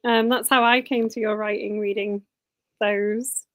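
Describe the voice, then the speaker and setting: A woman's voice: medium pitch, steady tone, a little slow, fairly bright tone, dry recording. A woman hosting a book club live stream on a video call, friendly and conversational, with small stumbles. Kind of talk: casual live-stream chat.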